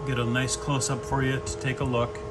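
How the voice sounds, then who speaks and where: A man's voice: mid-range voice, flat delivery, brisk. Casual DIY guy over background noise. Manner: informal, explains step by step. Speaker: DIY video presenter. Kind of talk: casual hands-on install walkthrough.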